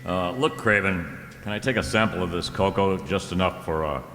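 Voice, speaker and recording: A man's voice: deep voice, moderate pace, fairly bright tone. A man acting a wry, tough private detective in an old-time radio drama, with a sardonic, wisecracking delivery. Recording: hall-like reverberant radio play recording.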